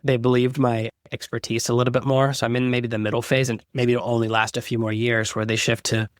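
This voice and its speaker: A man's voice: mid-range voice, level tone, brisk, no room sound, clean studio sound. A man in his forties hosting a podcast, chatting casually and making self-deprecating jokes. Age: 40s. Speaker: podcast host. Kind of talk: casual interview chat.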